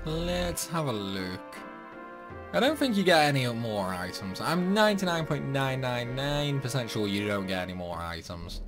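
A man's voice: mid-range voice, very animated, moderate pace. A chatty man giving casual playthrough commentary, playfully talking to the game's characters. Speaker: gaming video host. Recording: music behind the voice. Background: game music.

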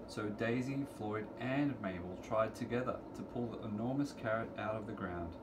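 A middle-aged man reading a children's picture book aloud to preschoolers in deliberate, sing-song storytelling phrasing, recorded in a room at home. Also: on the low side. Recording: clearly noisy home recording, room ambience.

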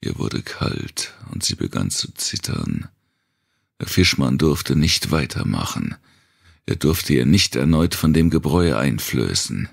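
A man reading a dark thriller audiobook in a measured, deliberate way, with a gravelly, husky voice. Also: extremely low pitch, big pitch swings, no echo, studio quality. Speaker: audiobook narrator. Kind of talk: scripted narration of a dark post-apocalyptic thriller.

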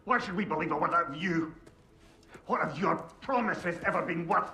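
A man's voice: fairly high pitch, normal speed, sharp tone. An actor playing an indignant, silver-tongued wise man's severed head in a video game, emphatic and articulate. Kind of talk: cinematic video game acting.

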